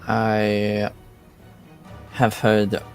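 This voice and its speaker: A stern Duke, voiced by a man in a fantasy roleplaying game, formal and admonishing. His voice sits fairly low and he picks his words slowly over faint music. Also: completely flat delivery. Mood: measured, guarded. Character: stern, discreet Duke scolding his younger relative.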